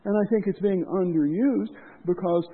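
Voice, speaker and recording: A man preaching a sermon, impassioned, rising to loud emphasis and dropping low and quiet. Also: normal speed, slightly rough. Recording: tinny phone-like lo-fi sound.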